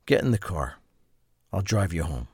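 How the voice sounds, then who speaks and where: An actor playing a weary, sceptical middle-aged friend in an audio drama. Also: male voice, low voice, very animated, moderate pace, darkish tone, slightly rough, no room sound, studio quality, heavily acted. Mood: weary, dry.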